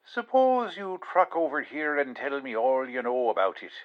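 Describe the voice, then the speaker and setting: A man's voice: medium pitch, big pitch swings, normal speed. An actor playing a blunt, wry Irish policeman in a 1940s-style radio drama, heard through a telephone filter. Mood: stern, wry. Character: gruff, wry Irish male police officer. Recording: dull, band-limited phone line.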